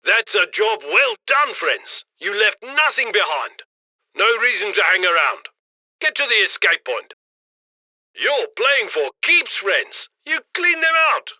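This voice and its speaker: A man playing a brash, upbeat heist crew handler in a video game, giving brisk, cocky commands. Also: sits high, sing-song, bright, forceful tone, dry recording, heavily acted. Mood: pleased, cocky. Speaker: mission handler giving instructions over comms.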